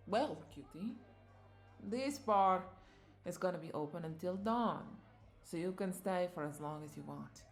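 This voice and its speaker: A woman's voice: lowish voice, lively intonation, a little slow, soft background music. A woman playing a flirty, doting vampire bartender in a roleplay audio drama, coaxing and affectionate as she speaks to the listener. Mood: tender, consoling. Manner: coaxing, affectionate, lingering over words with soft chuckles.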